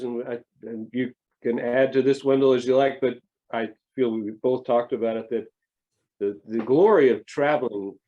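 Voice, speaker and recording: Middle-aged guy, warm dark voice, muffled video call sound, rambles thoughtfully. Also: mid-range voice. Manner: hesitant restarts, thoughtful, rambling. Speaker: family therapist, guest. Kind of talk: reflective conversational interview.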